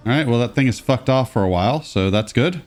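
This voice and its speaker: Congested, lively young man commentating. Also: medium pitch, fast. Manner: casual, sarcastic asides. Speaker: let's play host. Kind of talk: gameplay commentary.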